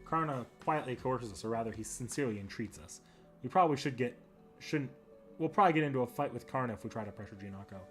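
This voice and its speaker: A man reading a game's story narration aloud, with music playing behind him. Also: medium pitch. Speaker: game narrator, club member.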